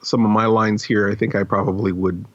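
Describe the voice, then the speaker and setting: A man with a low voice talks casually as a podcast host, tossing in wry, self-deprecating asides. The recording is dry and close, with a slightly muffled top end. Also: flat delivery, fairly quick, no room sound. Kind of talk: casual behind-the-scenes script discussion.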